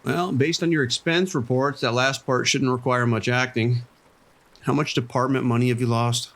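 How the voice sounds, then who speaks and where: A man playing a dry, cautious vice sergeant in a police radio drama, giving orders with deadpan authority. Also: medium pitch, moderate pace, lightly acted.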